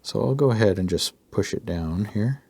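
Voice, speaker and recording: A man narrating a relaxed drawing tutorial as the channel host, his voice slightly hoarse, talking loosely with fillers. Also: on the low side, moderate pace, no echo.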